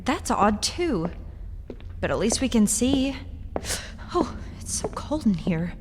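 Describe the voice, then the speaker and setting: A woman's voice: a little high, sing-song, takes it slowly. A woman playing an uneasy but curious wife in a radio horror play, hesitant and full of wonder. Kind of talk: radio horror drama acting. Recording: reverberant, noisy radio drama recording.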